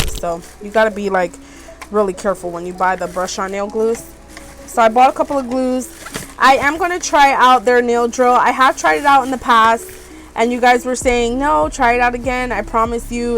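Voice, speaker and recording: Woman, stuffed-up nose, higher voice, chatty haul talk, soft music underneath. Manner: chatty, rambling, many ums and "you guys". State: stuffed up from allergies. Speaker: beauty vlogger. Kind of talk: casual haul chat. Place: small RV room.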